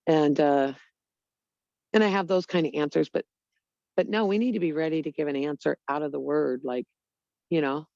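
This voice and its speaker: Woman rambling in a casual chat, gravelly fry, dry muffled lo-fi audio. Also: deep voice, normal speed, pauses often, a little mellow, no room sound. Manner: earnest, rambling, lots of "you know" and "like". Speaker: Bible study group member. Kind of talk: casual online group chat.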